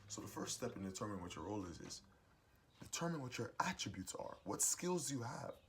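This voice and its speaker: Young man, booming gravelly voice, lively emphatic delivery, preachy advice monologue, faint background noise. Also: quick pace. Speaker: host giving advice monologue. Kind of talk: motivational monologue.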